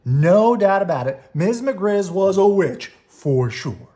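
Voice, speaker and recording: A woman reading a children's bedtime story aloud in expressive narration. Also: medium pitch, takes it slowly. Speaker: storybook reader.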